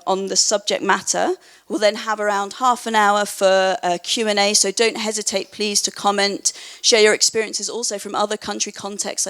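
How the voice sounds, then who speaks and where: Gravelly woman moderating a panel. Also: mid-range voice, a bit bright. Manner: polite and formal. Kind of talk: introducing panel speakers. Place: conference panel room.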